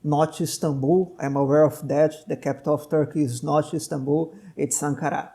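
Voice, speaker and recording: Male doctor-host, Portuguese native, talkative and digressive, mellow tone. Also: on the high side, level tone, normal speed, vocal fry. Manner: talkative, digressive, friendly laughs. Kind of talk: conversational podcast interview.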